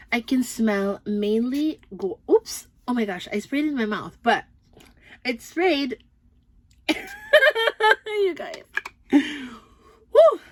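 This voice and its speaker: A woman's voice: mid-range voice, no room sound. A young woman from the Philippines with a Filipino accent, chatting upbeat through a fragrance review with many fillers. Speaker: beauty vlogger. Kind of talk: casual product review.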